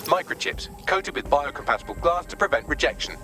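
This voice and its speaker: Man playing a high, lively, sardonic AI assistant. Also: fairly quick, background noise, natural voice. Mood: brisk analysis. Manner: dry, sarcastic, chatty. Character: witty sardonic male AI assistant. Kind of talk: video game voice acting. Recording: loud music behind the voice. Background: game music.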